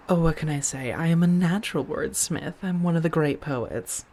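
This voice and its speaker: A woman playing an affectionate, protective, elegant vampire roommate in a soft-spoken ASMR roleplay, tender and gently theatrical with breathy murmurs. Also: fairly low pitch, full character voice.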